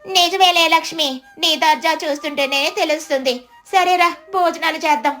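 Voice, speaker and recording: A woman's voice: very high-pitched, fairly even intonation, a little fast, a bit bright, faint music behind, voice pushed up. A woman voicing an effusive, envious village gossip in a storybook tale. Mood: gushing, envious. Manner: exclaiming, effusive.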